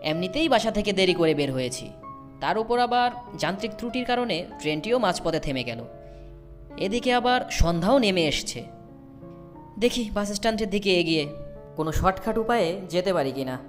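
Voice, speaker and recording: A woman's voice: mid-range voice, animated, brisk, close to an everyday voice. A woman playing a polite young city student who narrates a cartoon story in the first person. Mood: reflective. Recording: prominent music behind the voice.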